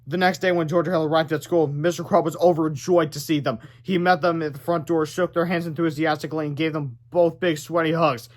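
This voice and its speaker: A man reads a children's book aloud. His voice sits a bit higher than most men's as he narrates the story. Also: fairly even intonation, fairly quick, few pauses. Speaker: storybook reader.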